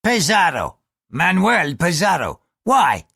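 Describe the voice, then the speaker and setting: A man's voice: sits high, huge pitch swings, slow, gravelly, bright, forceful tone, slightly rough, dry recording, studio quality, voice pushed up, heavily acted. A man voicing a crude, lecherous male ship's bosun who leers and threatens. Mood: threatening. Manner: coarse, leering, threatening.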